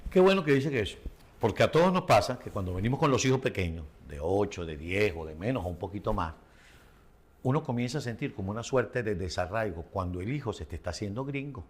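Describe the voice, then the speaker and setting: A man with a Venezuelan Spanish accent hosts the conversation in a somewhat low voice. He asks measured, probing questions and reflects on his guest's answers. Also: expressive, slightly rough. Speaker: interview host. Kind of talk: conversational interview.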